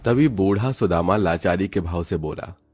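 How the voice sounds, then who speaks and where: A man narrates a scripted story in a very low voice. The sound is dry, with soft music underneath. His delivery is that of a dramatic storyteller. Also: moderate pace, husky, no echo.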